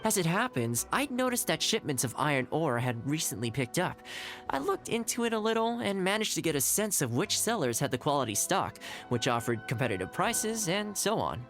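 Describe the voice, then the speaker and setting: Courteous young male game character: shrewd, articulate adviser, expressive delivery over background music. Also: on the high side. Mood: polite, advising. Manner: polite, articulate, measured. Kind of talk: scripted game character dialogue. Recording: music behind the voice.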